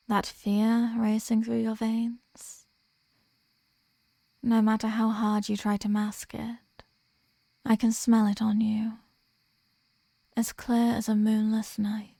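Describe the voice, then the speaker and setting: A woman acts out a seductive, possessive female vampire in an ASMR roleplay. She speaks extremely slowly in a dark, soft tone with a creaky edge, teasing and commanding as she slips into breathy half-whispers. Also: fairly high pitch, no room sound, exaggerated voice.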